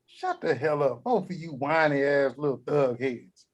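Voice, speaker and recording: A crude, scheming old grandfather, voiced by a man at a table read. He talks gruff and vulgar, firing off wisecracks in a somewhat raised voice. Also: fairly high pitch, moderate pace, lo-fi sound, lightly acted.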